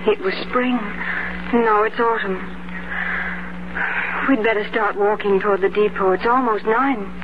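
An actress playing a gentle, faithful young preacher's daughter from a small town, earnest and wistful, in 1950s radio drama style. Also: female voice, mid-range voice, steady tone, a little slow, long pauses, background noise, close to an everyday voice. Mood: wistful, tender. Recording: muffled vintage radio broadcast with music.

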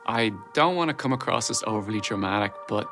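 A man delivering scripted promotional narration in deliberate, declarative sentences. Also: on the low side, animated, pushed and bright. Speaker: company leader. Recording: music bed under voice.

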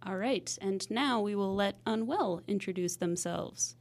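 A woman's voice: medium pitch, a little slow, darkish tone. A woman hosting a podcast, delivering scripted narration in a studio. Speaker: podcast host and producer.

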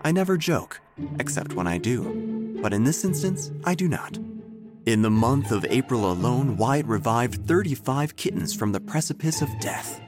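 An actor playing an eccentric small-town obituary writer, narrating an audio drama in a solemn, deadpan, theatrical way. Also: male voice, mid-range voice, normal speed, over music, natural voice.

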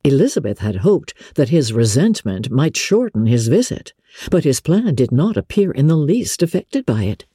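A woman narrating classic literature as a dramatic reading, expressive, measured and articulate. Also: extremely low pitch, breathy edge, no echo, clean studio sound. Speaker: audiobook narrator.

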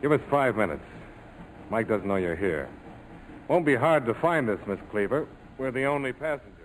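An actor playing a tough, cool American major with a wry, clipped, persuasive way of talking in 1940s radio style. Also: male voice, medium pitch, lively intonation, moderate pace, natural voice. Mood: casual. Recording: noisy old 1940s radio broadcast.

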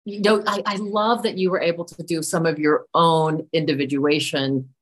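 A woman's voice: sits low, fairly even intonation, normal speed. A woman hosting a podcast, introducing and questioning her guest with effusive enthusiasm. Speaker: podcast host. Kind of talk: interview hosting.

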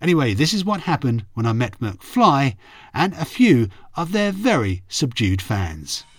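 A middle-aged man with a croaky, hoarse voice, a radio reporter narrating his memories in a friendly, chatty way. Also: medium pitch, very animated, unhurried, no room sound, clean studio sound. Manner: friendly, chatty, self-deprecating. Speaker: radio reporter and podcast host. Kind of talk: reflective storytelling narration.

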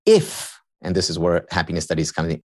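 A man's voice: deep voice, very animated, brisk, darkish tone. A man whose first language is Hebrew, a guest on a podcast who explains his ideas in a lecturing way and builds each point through repetition. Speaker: podcast guest, happiness researcher and teacher. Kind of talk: explanatory interview talk.

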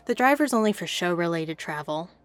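An actress playing a crisp, unyielding studio executive in an audio drama, matter-of-fact and dry in manner. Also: female voice, on the low side, lively intonation, a little fast, close to an everyday voice. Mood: businesslike. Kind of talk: scripted audio drama acting.

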